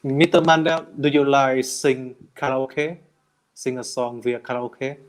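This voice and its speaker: A man speaking English as a learner, halting and hesitant, restarting words often in casual chat. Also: medium pitch, level tone. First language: not English. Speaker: English learner in conversation practice.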